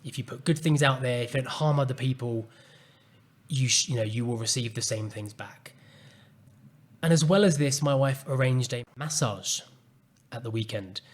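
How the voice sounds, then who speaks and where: A man hosting a solo podcast, speaking calmly and earnestly as he reflects on a personal story. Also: mid-range voice, normal speed. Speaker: podcast host and coach.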